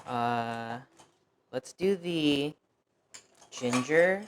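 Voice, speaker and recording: A man's voice: medium pitch, expressive, drawn-out words, slight background noise. A young man from the United States whose first language was Fuzhounese, chatting loosely on a cooking stream with lots of fillers and self-deprecating asides. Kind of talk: casual cooking stream chat.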